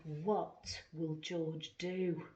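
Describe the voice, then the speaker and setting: A woman reading a picture book expressively to young children, theatrical, asking the listener questions with hushed asides. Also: low voice, level tone, very slow, muffled recording. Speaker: primary school storyteller.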